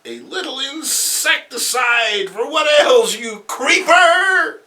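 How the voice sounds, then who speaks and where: A man's voice: very high-pitched, animated, takes it slowly, bright, forceful tone, voice pushed up, full character voice. A man voicing a ruthless, gloating female criminal mastermind in a comic book reading, bossy and scornful. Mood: scornful fury.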